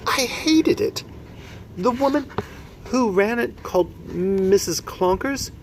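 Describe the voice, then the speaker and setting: A man's voice: high-pitched, takes it slowly, voice pushed up. A man voicing a plucky young orphan girl who answers plainly and matter-of-factly. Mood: resentful. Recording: steady background noise.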